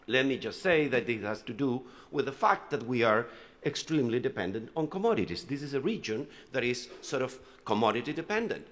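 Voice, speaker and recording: A man's voice: medium pitch, lively intonation, brisk, fairly bright tone. A man from Chile talks through a conference panel presentation in a loose, conversational way, stopping often for fillers and restarts. His voice sits in a middle register. It carries a long reverb from a large hall. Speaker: panel speaker, economist. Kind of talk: conference panel talk with slides.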